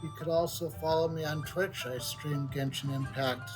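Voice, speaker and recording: A man hosting a gaming channel, talking casually with a hesitant, halting manner. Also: fairly high pitch, fairly even intonation. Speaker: gaming streamer. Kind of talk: casual outro talk. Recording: faint game music behind.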